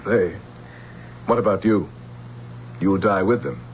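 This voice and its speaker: Ruthless low-voiced general. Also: male voice, slow. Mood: suspicious. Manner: commanding, scornful. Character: ruthless, power-hungry male general, menacing villain. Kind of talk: radio drama villain acting. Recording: dull lo-fi radio recording, faint hiss.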